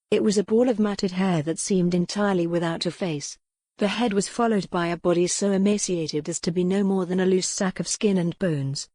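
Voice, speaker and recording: A woman's voice: fairly low pitch, mellow, no room sound, studio quality. A woman reading scripted dramatic fiction as an audiobook narrator.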